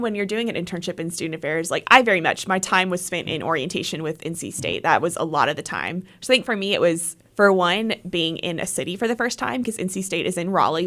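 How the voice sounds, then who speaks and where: A woman's voice: fairly low pitch, a bit bright. A young woman from Texas, a guest chatting casually in an interview, friendly and agreeable, with lots of "like" and "um". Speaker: guest, leadership program coordinator.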